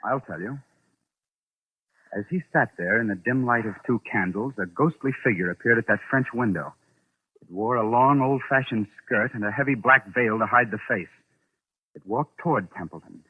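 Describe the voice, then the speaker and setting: An actor playing a shrewd, knowing investigator in a 1940s radio suspense drama, deliberate and authoritative. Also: male voice, mid-range voice, slightly rough, lightly acted. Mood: explaining, ominous. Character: shrewd, commanding man investigating a sinister mystery. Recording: muffled old radio drama recording, phone-like.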